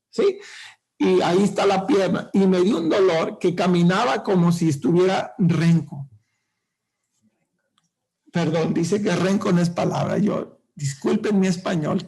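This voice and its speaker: Male preacher, high gravelly voice, impassioned sermon delivery. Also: a little mellow. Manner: impassioned, drawn-out emphatic phrasing; speaks directly to the congregation.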